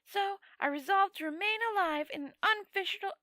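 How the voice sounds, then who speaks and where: A woman acts out a long-dead old wizard from a fantasy storybook. The voice is high and close, with a dry sound. He rambles proudly, as if death were an ordinary matter. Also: fairly quick, sharp tone, no room sound. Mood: proud, disdainful. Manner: rambling, matter-of-fact, conversational.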